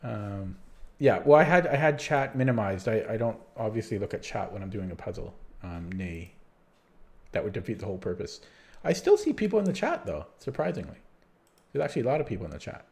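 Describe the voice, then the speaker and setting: A man's voice: lowish voice, big pitch swings, fairly quick, darkish tone. A man live-streaming chess puzzles, talking casually to his chat, tired and rambling with many restarts, and starting to lose his voice. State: losing his voice.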